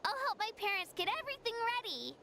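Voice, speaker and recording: A woman's voice: very high-pitched, very animated, fast, vocal fry, heavy background noise, exaggerated voice. An actress voicing a sincere, shy young girl training hard as a warrior in a game, with music behind. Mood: earnest. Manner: sincere, shy, eager to please.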